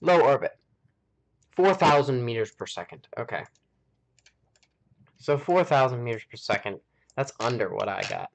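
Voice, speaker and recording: A man's voice: medium pitch, very animated, normal speed, creaky, pauses often. An American man hosting a game live stream, chatting casually and rambling as he thinks aloud. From United States. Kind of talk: casual chat while playing a game.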